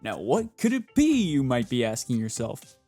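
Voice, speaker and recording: A man's voice: mid-range voice, wildly swooping pitch, moderate pace, mellow. A man narrating a scripted facts video in a chatty, theatrical way, with playful asides. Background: background music.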